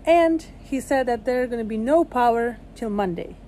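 A woman's voice: fairly high pitch, moderate pace, slight background noise. A young woman hosting a vlog. She talks casually and playfully to a phone held at arm's length in a small boat cabin, with English that is not her first language. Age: young adult. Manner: lively, playful, talks to camera. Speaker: vlog host.

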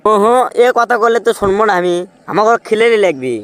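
A man's voice: high-pitched, expressive, brisk, a bit bright, dry recording. A man voicing a pushy, crude young friend with a Bogura Bangladeshi accent, brash and taunting in a comic cartoon. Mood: demanding.

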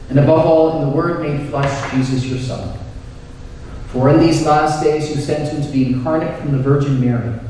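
Male priest, solemn liturgical recitation, mellow tone, measured delivery, very reverberant church, formal. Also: a little high. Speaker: Anglican priest. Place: inside a church at the altar. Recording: echoey church hall, distant, faint noise.

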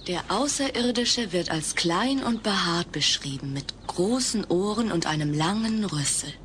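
An actress playing a curious daughter of the family in a comedy audio play. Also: female voice, lowish voice, lively intonation, a little slow, a bit bright, a little hiss or hum.

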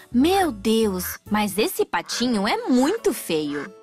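Woman storyteller for children's cartoons, high and animated, soft music bed. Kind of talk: scripted children's story narration.

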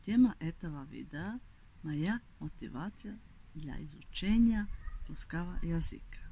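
A woman's voice: lowish voice, warm tone, a little hiss or hum, poor audio. A woman from Croatia, a native Croatian speaker, telling a prepared story of learning the language, outdoors. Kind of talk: prepared personal story to camera. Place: outdoors among shrubs.

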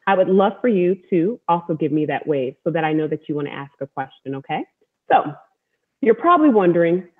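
American woman, online host, encouraging, emphatic repetition, medium pitch, narrow tinny call sound. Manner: encouraging, repeats words for emphasis. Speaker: host, storytelling athlete. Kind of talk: welcoming talk to an online group. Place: room at home. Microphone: wireless earbud on video call. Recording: narrow-band video call audio.

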